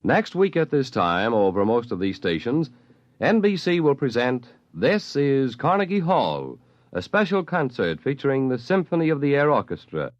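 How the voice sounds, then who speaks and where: Animated American radio announcer, a man. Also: medium pitch, moderate pace, no room sound. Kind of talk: scripted 1950s radio announcing. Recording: dull 1950s radio recording with music.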